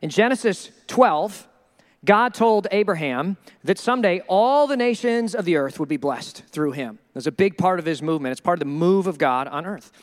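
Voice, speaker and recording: Guy preaching with a pretty high voice, animated and joking around, acts out what people say to him. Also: moderate pace, long reverb tail. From Minnesota. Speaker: pastor. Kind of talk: sermon.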